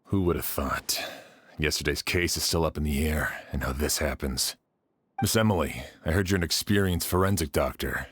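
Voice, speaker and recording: A man's voice: a bass voice, normal speed, a bit bright, breathy edge, exaggerated voice. An actor playing a serious, courteous male guard officer in a video game, speaking formally and politely. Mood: serious, puzzled.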